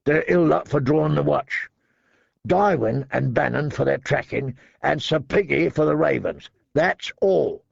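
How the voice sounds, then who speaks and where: A man's voice: a little high, lively intonation, measured pace, vocal fry, no room sound, lo-fi sound, heavily acted. A man voicing a bitter, resentful kennel hand in an audiobook, snarling and sour. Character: bitter, boil-faced male kennel hand.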